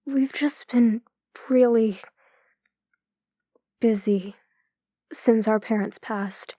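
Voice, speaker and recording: An actress plays a gentle, troubled woman in an audio drama. Her voice is fairly high and mellow, recorded dry. She talks in a hesitant, confiding way. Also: very slow, no room sound. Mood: sad, confiding.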